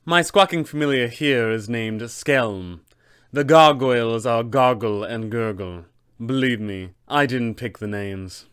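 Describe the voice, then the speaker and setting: A man's voice: mid-range voice, a little slow, fairly bright tone, clear, resonant tone, no room sound, studio quality, close to an everyday voice. Man playing an imperious, sour tiefling sorceress. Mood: dry, sour. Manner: imperious, clipped, threatening delivery. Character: arrogant, sour albino tiefling sorceress, power-hungry.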